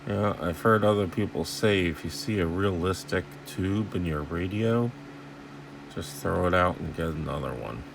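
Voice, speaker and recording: A man's voice: sits low, moderate pace, gravelly, background noise. A man giving casual, rambling hands-on repair commentary, thinking aloud in informal, salty language. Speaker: host of a radio repair video. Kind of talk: casual hands-on commentary while inspecting equipment.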